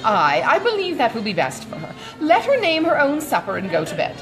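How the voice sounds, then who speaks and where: A woman's voice: sits high, wildly swooping pitch, brisk, over music, voice pushed up, exaggerated voice. A woman voicing a chatty, good-natured older matron in a storybook, gushing and rambling with many exclamations. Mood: flustered, concerned.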